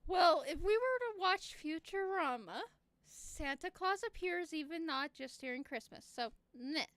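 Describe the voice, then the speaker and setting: A woman's voice: extremely high pitch, expressive, unhurried, fairly bright tone, dry recording. A woman co-hosting a live stream, teasing and giggling through playful banter. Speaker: stream co-host.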